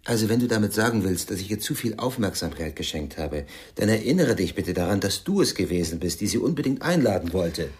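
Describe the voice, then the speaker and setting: A man's voice: lowish voice, very animated, quick pace, few pauses, darkish tone. A man acting a stern, easily irritated husband and father in a German radio play, speaking matter-of-factly and curtly.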